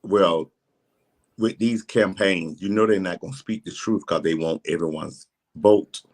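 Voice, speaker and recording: A man in his fifties co-hosting a podcast, cutting in with quick, folksy reactions and questions. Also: low-pitched. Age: 50s. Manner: animated interjections, folksy. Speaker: podcast co-host. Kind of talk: casual chat.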